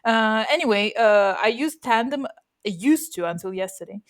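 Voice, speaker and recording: A woman's voice: fairly high pitch, expressive, moderate pace. A woman co-hosting a podcast, born bilingual with Russian as one first language, talking casually with lots of fillers, restarts and laughter. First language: Russian, bilingual from birth. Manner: chatty, many fillers and restarts, laughs often. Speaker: podcast co-host.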